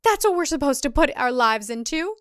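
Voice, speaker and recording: A woman voicing an older, self-righteous cult mother in an audio drama, with a fervent, plaintive, drawn-out delivery. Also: sits high, big pitch swings, no echo, studio quality, voice pushed up. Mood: fervent conviction.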